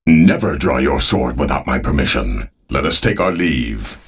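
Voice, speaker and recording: A man playing a middle-aged samurai lord and stern father in a video game, speaking with measured, formal authority. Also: a bass voice, expressive, slightly rough, exaggerated voice. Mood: stern, commanding. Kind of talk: video game cutscene acting.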